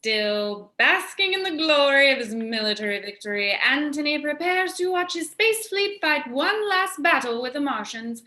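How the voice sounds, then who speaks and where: A woman's voice: high voice, animated, normal speed, hardly any pauses, bright, forceful tone. A woman giving scripted, dramatic narration for a radio play, recorded in a roomy space. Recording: roomy, echoey sound.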